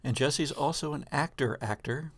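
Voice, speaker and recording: A man's voice: on the high side, moderate pace, slightly rough. A man hosting a live podcast in a casual, conversational way as the game master.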